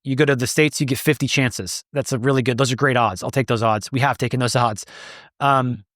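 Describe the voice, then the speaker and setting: A man's voice: mid-range voice, fairly even intonation, a little fast, fairly bright tone, dry recording, studio quality. A man answering interview questions as the founder of an advocacy group, speaking emphatically and repeating words as he builds a point.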